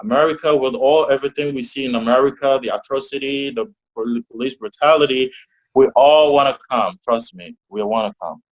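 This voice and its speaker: A young man from Togo talks through his answers on a video call. He hesitates and restarts often, and his pitch sits at an ordinary middle level. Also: brisk, low-quality recording. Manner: hesitant, many restarts and fillers. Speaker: interview guest. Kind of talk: casual interview answers.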